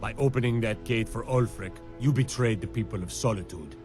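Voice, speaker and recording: A man's voice: on the low side, fairly quick, over music, lightly acted. An actor playing a stern guard captain in a fantasy game, formal and accusing as he pronounces sentence.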